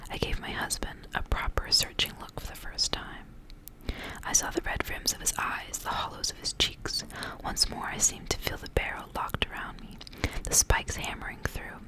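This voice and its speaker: A woman reads in a breathy, unvoiced whisper, hushed and intimate, in the style of an ASMR storytelling session. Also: moderate pace, low-quality recording. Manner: soft, hushed, intimate delivery. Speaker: ASMR reader and channel host. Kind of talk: whispered ASMR storybook reading with casual asides.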